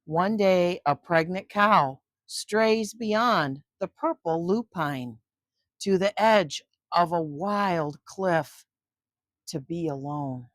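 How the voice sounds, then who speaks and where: An older woman hosting story time for children, reading a picture book aloud and chatting gently to young listeners in between. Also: lowish voice, takes it slowly, no echo, studio quality. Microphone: close microphone.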